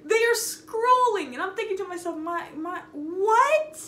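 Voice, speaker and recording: High-voiced young woman telling stories, echoey room. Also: unhurried. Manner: animated, sarcastic, stretches words for emphasis. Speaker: storytime vlogger. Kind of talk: casual, chatty anecdote storytelling. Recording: roomy echo, noticeable background noise.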